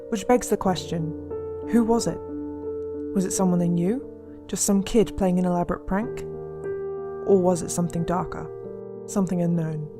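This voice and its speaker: A woman playing a serious investigator, giving measured, solemn scripted true-crime narration for a radio drama. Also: on the low side, close to an everyday voice. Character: serious female investigator narrating unsolved disappearances. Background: instrumental music.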